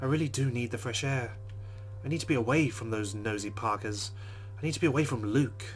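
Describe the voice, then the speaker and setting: A man's voice: medium pitch, a little fast, slight background noise. Man reading a game script aloud. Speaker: let's play host. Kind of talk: reading a visual novel aloud.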